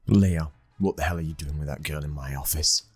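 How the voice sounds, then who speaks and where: A British man acting a commanding mafia boss's son, a stern but loving husband, in an intimate ASMR roleplay with a husky voice. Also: extremely low pitch, brisk, soft background music. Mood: stern, exasperated.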